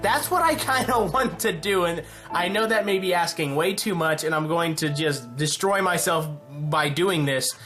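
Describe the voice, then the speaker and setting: An excited channel host making a casual announcement, talking in an emphatic, rambling way. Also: medium pitch, normal speed. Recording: music behind the voice. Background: music.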